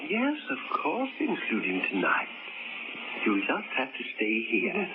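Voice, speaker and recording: Zealous old visionary, grandiose and ominous, swooping pitch, tinny noisy radio sound. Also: male voice, huge pitch swings, measured pace. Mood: calm. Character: zealous old man, doom-preaching reptile visionary. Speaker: eccentric old man planning to die. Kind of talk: 1940s radio acting.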